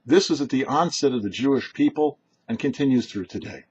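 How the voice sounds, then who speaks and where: A man's voice: medium pitch, animated, fairly quick, a little mellow, breathy edge, dull audio. A man speaking as a rabbi, giving a short explanatory Torah teaching with emphatic, didactic phrasing. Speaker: rabbi hosting a weekly Torah commentary show.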